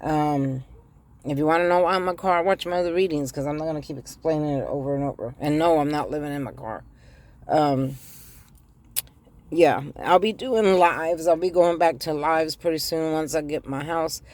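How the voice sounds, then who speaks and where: Raspy-voiced woman chatting from her car. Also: sits low, moderate pace, a little mellow. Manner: chatty, addresses viewers directly, hesitant ums. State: raspy voice after the flu. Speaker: tarot reader. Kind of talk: casual tarot reading to camera. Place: inside a car. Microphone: phone held in one hand.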